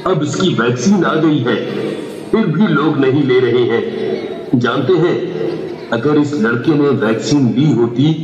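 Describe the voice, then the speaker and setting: A man's voice: mid-range voice, a little slow, a little mellow, a little hiss or hum, lightly acted. A man playing an earnest doctor in a puppet show, grave and explanatory, with muffled hall echo.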